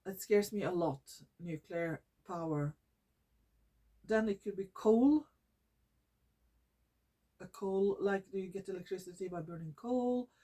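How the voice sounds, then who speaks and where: A woman's voice: mid-range voice, a little slow, long pauses, warm tone. Norwegian woman vlogging, hesitant, many fillers. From Norway. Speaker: environmental vlogger. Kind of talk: casual talk to camera. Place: living room at home.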